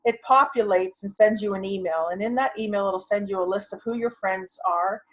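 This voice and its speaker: A woman's voice: mid-range voice, a little fast, a bit bright. Casting: female online instructor, mid-range voice, informal and conversational, heard through a dull lo-fi video call. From Canada. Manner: conversational, thinking aloud as she demonstrates. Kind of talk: informal tutorial with screen sharing. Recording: muffled, lo-fi video call audio.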